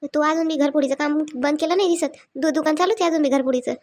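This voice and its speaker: A hot-tempered cartoon village wife, played by a woman, with a high voice and very quick delivery. Her rural Maharashtrian accent carries indignant scolding and threats, kept on a fairly even tone. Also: lightly acted. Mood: angry scolding. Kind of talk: Marathi comedy cartoon dialogue.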